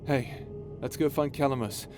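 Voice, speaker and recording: A man acting in an ASMR audio drama as a caring, steady space pirate captain with a casual, teasing, reassuring manner. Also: medium pitch, moderate pace, lightly acted. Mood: fond, teasing. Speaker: voice actor in audio roleplay. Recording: music under the voice. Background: music.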